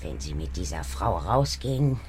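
A woman playing a devoted, suspicious servant and herbal healer in a German radio play, with a husky, deliberate, hushed delivery. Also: very deep voice, big pitch swings, very noisy, exaggerated voice. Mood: suspicious, ominous.

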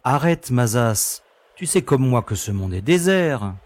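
A man voicing a blunt starship pilot who doubts everything he hears and says so plainly. His voice is mid-pitched and ordinary. He is a down-to-earth crewman in an audio drama. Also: very animated, unhurried, darkish tone. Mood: skeptical. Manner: blunt and incredulous, speaking in casual slang.

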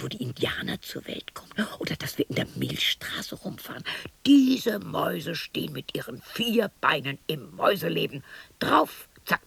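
An actress playing a lonely, eccentric widow of sixty in a radio play, muttering to herself in a dramatic, erratic, defiant way. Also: female voice, low-pitched, a bit bright, dry recording, heavily acted. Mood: grimly gleeful muttering. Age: 60s. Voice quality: breathy, raspy. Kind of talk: radio play monologue acting.

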